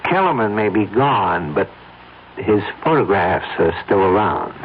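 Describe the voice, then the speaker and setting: An actor playing a hard-nosed, cynical murder conspirator in an old-time radio drama, speaking in a clipped, pressing, sardonic way. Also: male voice, fairly low pitch, big pitch swings, measured pace. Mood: quietly threatening. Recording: noisy, tinny old radio drama recording.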